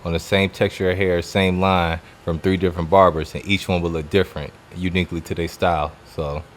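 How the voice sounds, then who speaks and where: A man's voice: low-pitched, little pitch movement, fairly quick, slight background noise. A man with an American accent co-hosting in casual chat, blunt and slangy, often repeating phrases. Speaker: fill-in co-host.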